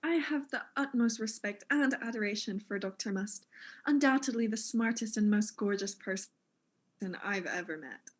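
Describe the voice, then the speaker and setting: An actress playing a vain, self-important fashion mogul in a comic audio drama, arch and condescending in manner. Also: female voice, mid-range voice, animated, moderate pace, lightly acted. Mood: haughty, airy.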